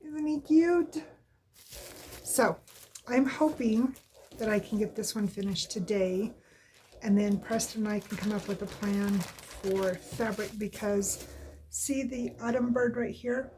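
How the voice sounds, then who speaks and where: Woman, stuffy nose, casual weekly update, rambling, self-correcting, mid pitch, indoors at home. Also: takes it slowly, warm tone, a little hiss or hum, poor audio. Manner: chatty, rambling, corrects herself often. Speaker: cross-stitch vlogger.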